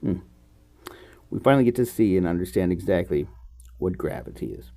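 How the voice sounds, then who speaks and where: A man reading a book aloud as host, in an expressive, musing manner with offhand asides. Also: low-pitched, brisk, vocal fry.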